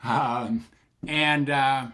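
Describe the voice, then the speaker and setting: A man's voice: medium pitch, steady tone, very slow, bright, forceful tone. An older man, a psychiatrist guest on a podcast, chatting casually and enthusiastically with many fillers and restarts. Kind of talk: casual interview chat. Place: Sacramento, USA.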